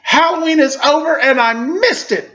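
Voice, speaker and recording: A man's voice: very high-pitched, normal speed, a bit bright, voice pushed up. A man doing an indignant fed-up little girl. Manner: exasperated, complaining. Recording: slightly dull lo-fi recording.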